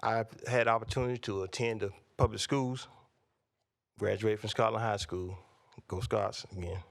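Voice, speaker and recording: A man in his fifties speaks at a candidate forum, steady and plainspoken. His voice sits on the low side. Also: normal speed, gravelly. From North Carolina, USA. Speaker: candidate for county commissioner.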